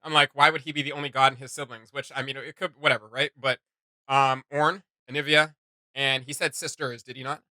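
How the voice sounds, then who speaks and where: A man's voice: medium pitch, flat delivery, fairly quick, bright, forceful tone, no room sound. A man hosting a reaction video, giving casual, opinionated commentary and rephrasing his points as he goes.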